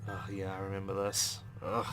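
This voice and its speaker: A man reading visual novel text aloud as a let's player, in a measured, understated storytelling manner with brief asides. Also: low-pitched, monotone. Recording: music behind the voice. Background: game music.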